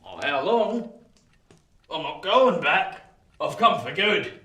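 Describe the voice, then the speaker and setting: Rough, bright-voiced ex-convict. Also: male voice, high-pitched, sing-song, slow, heavily acted. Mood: fond, proud. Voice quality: rustic, ungrammatical speech. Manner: proud, fond, blustering. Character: rough, devoted older male ex-convict returned from colonies. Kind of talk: radio play acting. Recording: roomy, echoing sound.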